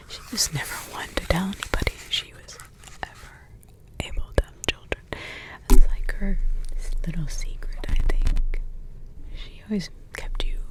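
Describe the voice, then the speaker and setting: An ancient, wry witch mother, played by a woman in an ASMR roleplay, who whispers everything softly. She talks very slowly, pondering aloud and humming to herself between thoughts. Her pitch sits in a middle range. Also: rough recording. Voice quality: soft whisper throughout. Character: centuries-old witch mother, musing and wry.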